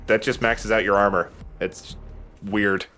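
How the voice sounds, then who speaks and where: A man's voice: on the low side, fairly even intonation, a little slow, sharp tone. A man giving casual, joking commentary as he plays and hosts a let's play. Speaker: let's play host and player. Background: video game music and combat sounds.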